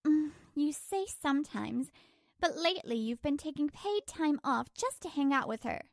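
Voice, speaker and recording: A woman's voice: high-pitched, lively intonation, normal speed, gravelly, dry recording, clean studio sound. A woman voicing an exasperated, aggrieved working wife in a scripted manga dub, narrating and arguing. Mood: exasperated, reproachful.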